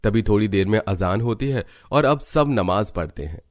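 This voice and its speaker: A man's voice: lowish voice, lively intonation, moderate pace. A man narrating a scripted children's cartoon story.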